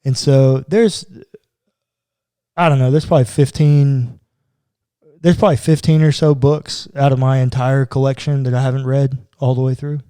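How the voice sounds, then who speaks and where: A man's voice: medium pitch, fairly even intonation, normal speed, mellow, clean tone, dry recording, studio quality. A man co-hosting a podcast as a pastor, chatting casually about the Bible with informal, slangy asides. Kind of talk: casual conversational Bible discussion.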